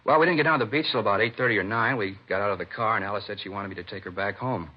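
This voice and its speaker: Talkative american guy grilled by cops, lowish husky voice, touchy, old muffled radio sound. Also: very fast. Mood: explanatory. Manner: conversational, indignant when pressed. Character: defensive, talkative male civilian under police questioning. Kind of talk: 1950s radio drama acting. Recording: dull vintage radio recording.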